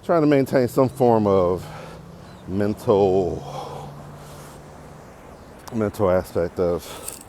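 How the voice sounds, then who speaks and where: Casual American male podcast host, very low mellow voice, thinks aloud and digresses, clear outdoor background noise. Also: lively intonation, very slow, long pauses. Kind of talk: casual unscripted monologue while walking. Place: outdoors, walking on a path.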